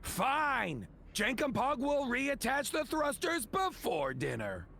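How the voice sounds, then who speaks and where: A man voicing a grumpy, gruff young alien engineer who loves food, grumbling in an animated game. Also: extremely high pitch, slow, a little hiss or hum, heavily acted. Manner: refers to himself in third person, grumbling. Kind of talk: animated cartoon game acting.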